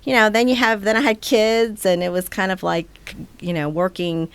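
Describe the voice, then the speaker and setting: A woman's voice: mid-range voice, flat delivery, moderate pace, clear, resonant tone. Rambling woman guest in her forties. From Taiwan. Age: 40s. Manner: loose and rambling, many fillers, laughs easily. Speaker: nonprofit executive director, guest. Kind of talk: casual interview chat.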